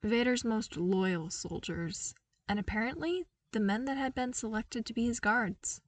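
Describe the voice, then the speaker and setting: A woman reading a scripted story aloud as an expressive narrator. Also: a little high, measured pace, no echo, far from the mic. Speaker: fan fiction reader.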